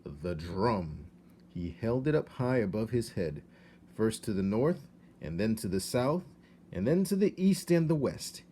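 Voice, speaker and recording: Male storybook narrator, soft dark tone, very lively intonation, faint background noise. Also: medium pitch, normal speed. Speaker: read-aloud narrator. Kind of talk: expressive children's storybook narration.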